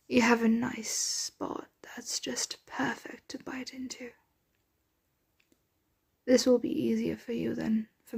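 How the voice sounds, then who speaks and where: Woman acting as genteel female vampire, doting and possessive, whispery breathy ASMR roleplay, unhurried, creaky edges. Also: fairly high pitch, heavily acted. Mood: gentle, pleased. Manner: slow, coaxing, whispery soft-spoken delivery. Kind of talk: ASMR roleplay, soft intimate close speech.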